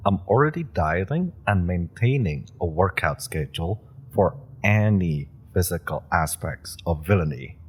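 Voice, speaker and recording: A man's voice: low-pitched, animated, a little slow, few pauses, slightly rough, full character voice. A young man voicing an eager, pushy super-powered rookie in an audio roleplay, talking the listener round with persuasive, playful chatter.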